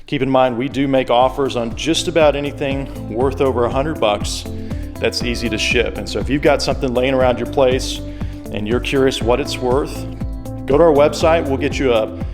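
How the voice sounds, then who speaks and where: A man with a medium-pitched voice gives a casual product demonstration. He talks like a shop presenter walking a viewer through an item, explaining each part in a conversational way. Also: normal speed, over music.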